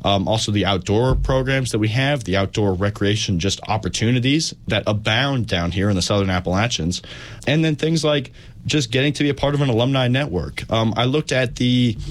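Young man, 20s, student leader, fairly low voice, earnest and enthusiastic. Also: brisk. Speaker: student body president. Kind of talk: radio interview.

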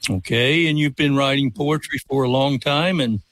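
A man's voice: mid-range voice, normal speed, a bit bright, no room sound. A man hosting a college radio interview show in a studio, friendly and welcoming.

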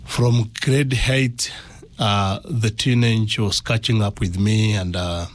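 Slow-speaking Kenyan man, low voice. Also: steady tone. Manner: halting, deliberate phrasing. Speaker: interview guest. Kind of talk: personal testimony.

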